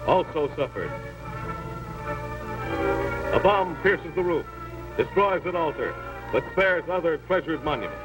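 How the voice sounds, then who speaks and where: A man's voice: fairly high pitch, sing-song, unhurried, long pauses. A man reading a scripted 1940s newsreel narration in a solemn, dramatic, declamatory manner. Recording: old 1940s newsreel soundtrack, distant, loud music.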